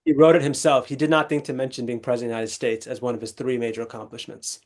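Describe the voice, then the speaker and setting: A young man from Texas, a legal scholar, speaking as a guest in an interview, articulate and measured with small restarts. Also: mid-range voice, flat delivery, a little mellow. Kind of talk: conversational interview storytelling.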